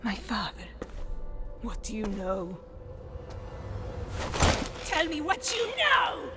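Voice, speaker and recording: A woman playing a fierce, vengeful young resistance fighter in a video game drama, intense and deliberate. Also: medium pitch, huge pitch swings, over loud music. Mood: tense, resolute. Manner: intense, deliberate, emotionally charged. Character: fierce, vengeful young female Italian partisan.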